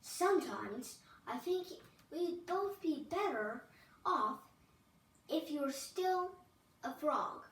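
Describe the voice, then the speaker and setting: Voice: sits high, animated, takes it slowly, fairly bright tone, heavily acted. A child voicing an exasperated storybook princess who whines, nags and scolds emphatically. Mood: furious tantrum. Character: nagging, whiny, exasperated young princess. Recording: distant mic, roomy echo, faint noise.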